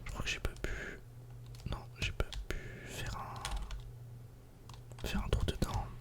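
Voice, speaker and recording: A man softly whispering casual, informal ASMR commentary while playing a video game. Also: slow pace. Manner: soft-spoken, casual, informal.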